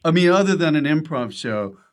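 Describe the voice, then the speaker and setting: A man hosting a casual conversational interview as a comedian, asking halting, trailing questions and giving brief agreeing replies. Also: on the high side, expressive. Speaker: podcast host, comedian.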